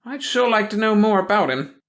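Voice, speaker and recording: A man voicing a sharp-faced, nosy small-town woman who talks in a suspicious, gossipy way. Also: high voice, lively intonation, a little fast, pitched above the performer's own voice. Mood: prying.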